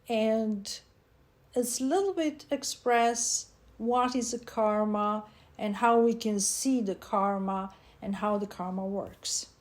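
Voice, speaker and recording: Slow-speaking woman. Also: a little high. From Serbia. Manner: slow and deliberate, with frequent pauses and uh fillers. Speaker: author and divination teacher. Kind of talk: slow, halting presentation with fillers.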